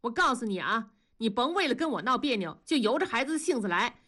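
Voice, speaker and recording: Sharp-tongued, suspicious wife and mother played by a female narrator, animated, nagging, audio storytelling. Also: a little high. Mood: angry, nagging. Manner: nagging, quick to accuse.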